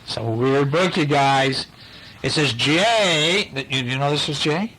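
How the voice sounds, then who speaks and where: A man's voice: on the high side, measured pace, bright, forceful tone. A man reading a picture book aloud to young children, chatting and quizzing them, acting out sound effects playfully in a noisy home recording.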